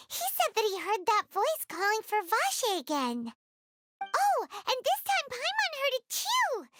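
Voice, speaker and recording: A woman voicing a small, chirpy, excitable fairy companion in a video game, with a squeaky childlike voice and exclaiming manner. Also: slight background noise, full character voice. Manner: speaks of herself in third person, exclaiming.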